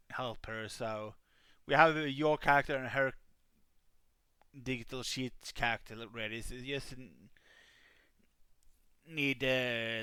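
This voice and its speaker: A man hosting a live stream, helping players make characters and talking in a halting way with many fillers. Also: mid-range voice, clear, resonant tone, dry recording. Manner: says he has a calming voice. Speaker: streamer running a game. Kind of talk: casual live-stream chat.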